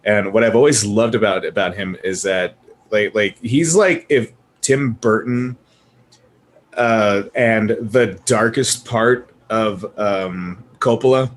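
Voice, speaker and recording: A man on a casual movie panel, talking in an animated, joking, halting way with some swearing. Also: on the low side, a little hiss or hum. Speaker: podcast panelist.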